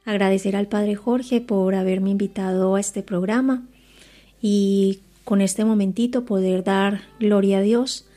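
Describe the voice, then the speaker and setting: A woman's voice: medium pitch, steady tone, a little slow, mellow, soft background music. A woman in her forties from Colombia giving a personal faith testimony in an earnest, reflective way.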